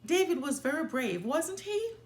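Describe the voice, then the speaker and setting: A woman's voice: high-pitched, sing-song, normal speed, roomy sound, pitched above the performer's own voice. A woman voicing a brave, fair-minded young boy bear cub in a children's storybook, earnest and reassuring. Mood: curious.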